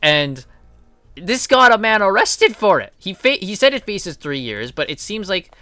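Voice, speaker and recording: Male online commentary host: indignant, opinionated, sharp-edged voice, off the cuff, dry sound. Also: high-pitched, sing-song, unhurried, no room sound. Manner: indignant, blunt, swearing emphatically. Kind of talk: unscripted opinionated news commentary.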